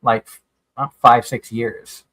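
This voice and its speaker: A man's voice: medium pitch, little pitch movement, slow pace, sharp tone. A man from Massachusetts, hosting a casual radio chat and rambling through anecdotes with repeated words. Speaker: radio show host. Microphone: streamed call mic.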